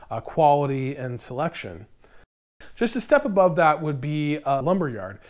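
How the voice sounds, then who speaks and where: American guy in his 30s, kinda higher voice, explaining diy stuff to camera on a lapel mic. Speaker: host of a DIY woodworking channel. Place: indoor workshop in front of lumber racks.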